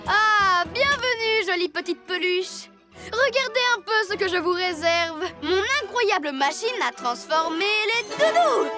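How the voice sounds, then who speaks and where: A scheming little child villain from a cartoon, with a very high voice and slow, gloating delivery. The words are stretched theatrically over loud music. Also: animated. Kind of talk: cartoon dub acting.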